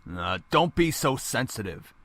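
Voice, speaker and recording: A man's voice: medium pitch, very animated, measured pace, a bit bright, no room sound. A man playing a cold, domineering middle-aged father in a visual novel, stern and condescending in manner. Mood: cold, commanding. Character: cold, domineering, angry father and business executive.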